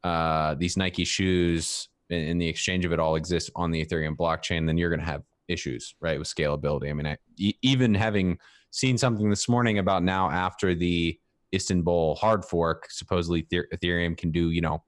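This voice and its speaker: A man with a very deep voice weighs points slowly on a casual podcast, pausing and restarting as he thinks. The sound is dry and close. He sounds like a co-host. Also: flat delivery, dry recording. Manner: measured, reflective, some hesitation. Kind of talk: casual panel discussion.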